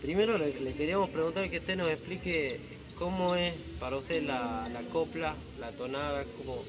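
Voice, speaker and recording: A man's voice: fairly high pitch, moderate pace. A man interviewing a folk singer, asking his questions hesitantly with many fillers. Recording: loud background noise outdoors.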